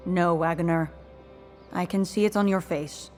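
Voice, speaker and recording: A woman playing a determined rebel strategist in a video game cutscene, speaking in a measured, businesslike, persuasive way. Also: fairly low pitch, fairly even intonation, darkish tone, soft background music, close to an everyday voice. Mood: earnest, measured. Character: determined, level-headed female rebel strategist.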